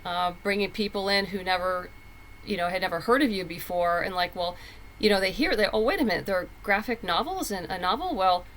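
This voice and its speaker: A woman's voice: mid-range voice, brisk, a bit bright, rough recording. A woman being interviewed as a writer, telling stories casually and emphatically, acting out quoted thoughts, with noise behind her. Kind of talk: casual conversational storytelling.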